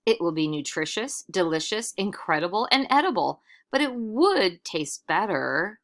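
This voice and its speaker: A woman's voice: mid-range voice, sing-song, moderate pace. A woman voicing cheerful, persuasive hungry travelers with sing-song, exclaiming delivery in a storybook. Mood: showy, persuasive. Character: two hungry, cheerful, persuasive male travelers, showy master cooks.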